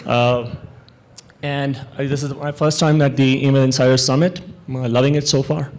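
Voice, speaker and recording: A man from Pakistan with a Pakistani accent, speaking as a panelist at a marketing conference, relaxed and easygoing with frequent fillers. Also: mid-range voice, normal speed. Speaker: panelist, airline email marketing manager.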